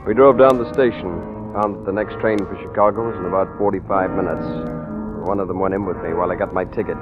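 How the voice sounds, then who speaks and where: Male actor, American anxious fugitive, low pitch, confiding, nervous, 1940s radio drama, narrow tinny vintage recording. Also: fairly even intonation, lightly acted. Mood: tense, confiding. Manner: nervous, halting when addressing others. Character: anxious fugitive man, confiding his story, nervously evasive. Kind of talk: 1940s American radio thriller acting, first-person narration and dialogue. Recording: tinny old radio recording, music behind.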